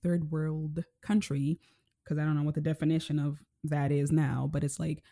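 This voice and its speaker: A woman from Kenya hosting a casual interview, gentle and rambling, full of "like" and "you know". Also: deep voice, level tone, normal speed. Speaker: podcast host.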